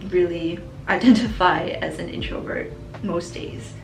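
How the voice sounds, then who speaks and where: A young woman from Singapore talks through viewer questions in an easy, reflective way, laughing now and then. Her voice has a slight gravelly fry. She sounds a little roomy, with music playing underneath. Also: fairly low pitch, unhurried. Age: young adult. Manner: chatty, reflective, laughs between answers. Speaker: vlogger. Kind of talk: casual chat answering viewer questions.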